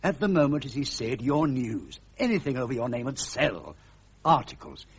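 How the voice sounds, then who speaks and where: Casting: courteous, persistent reporter, animated delivery, husky voice, persuasive, muffled radio drama. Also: male voice, medium pitch, normal speed. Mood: coaxing. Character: polite but persistent, coaxing newspaper reporter.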